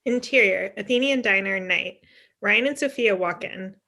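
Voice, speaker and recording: A woman's voice: mid-range voice, normal speed, sharp tone. A woman giving scripted narration as the stage directions reader, recorded on a video call. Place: indoor room on a video call.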